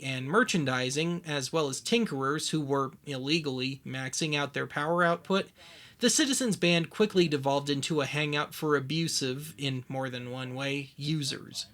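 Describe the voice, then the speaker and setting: A man narrating a scripted documentary as its host, with wry, deadpan humour. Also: a little high, moderate pace.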